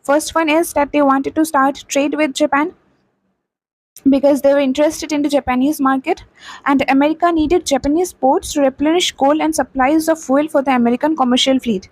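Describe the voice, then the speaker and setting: A young woman with an Indian English accent explains a history lesson in a high, clear voice. She goes over each point again and again with lots of "okay" and "uh." The sound is dry and close, with no room echo. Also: steady tone, brisk. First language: not Hindi. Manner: explains plainly, repeats key points with frequent "okay" and "uh". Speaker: teacher. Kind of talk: explaining a textbook chapter.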